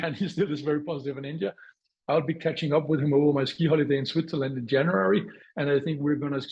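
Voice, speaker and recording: A man's voice: on the high side, darkish tone, slightly rough, dull audio. A man from Denmark, a portfolio manager giving market commentary on a webinar over a video call, thoughtful and prone to restarting phrases, with light laughs. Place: home or office, remote.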